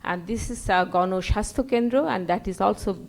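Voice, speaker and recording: A woman's voice: mid-range voice, brisk. A woman from Bangladesh with a Bangladeshi accent, an NGO worker giving an example from the floor of a conference. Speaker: NGO worker, audience speaker.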